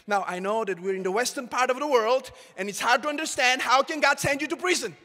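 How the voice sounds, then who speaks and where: A young male pastor preaches to a congregation in a big sanctuary, his high, bright voice carrying a gravelly edge. He swings from shouted calls to hushed asides. A long reverb rings around each phrase. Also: quick pace. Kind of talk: sermon. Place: large church auditorium with congregation. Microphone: handheld mic.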